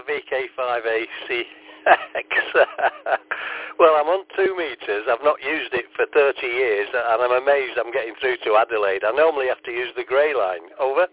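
A man's voice: medium pitch, normal speed. An older man, chatting in a friendly way as an amateur radio operator over two-way radio. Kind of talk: casual radio contact chat with call signs. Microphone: radio transceiver microphone. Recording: tinny, narrowband two-way radio.

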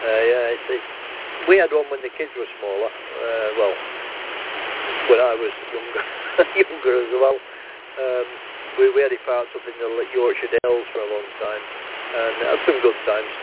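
A man's voice: medium pitch, moderate pace. An older man with a Lancashire accent, chatting casually and rambling as a ham radio operator outdoors by the sea. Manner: rambling, chatty, self-deprecating. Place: outdoors on a seafront promenade. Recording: tinny radio with hiss.

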